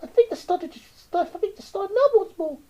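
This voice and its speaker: A woman voicing a frightened young child in a rhyming storybook, high and loud. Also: lively intonation, brisk. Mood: alarmed.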